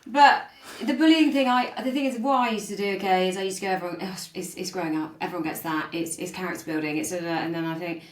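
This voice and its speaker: Low-voiced woman hosting a podcast. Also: fast, few pauses. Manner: empathetic, sharing her own experiences. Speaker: podcast host. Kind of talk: conversational interview. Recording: roomy echo.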